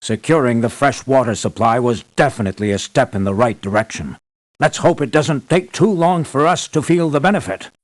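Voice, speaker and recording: Actor as earnest, lively-pitched male mission leader. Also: fairly quick, close to an everyday voice. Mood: formal, grateful. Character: earnest, measured male leader financing an island operation. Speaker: mission briefer. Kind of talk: scripted video game voice acting.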